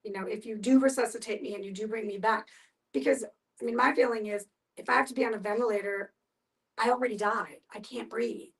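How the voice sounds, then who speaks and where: A woman's voice: fairly high pitch, steady tone, brisk. A woman speaking emphatically and explaining things in a group discussion. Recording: voice picked up from a distance.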